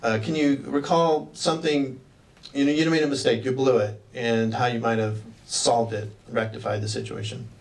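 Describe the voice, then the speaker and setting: A man acting as interviewer in a mock job interview, asking questions in a halting way and often restarting them. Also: mid-range voice, fairly even intonation. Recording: distant mic with faint background noise.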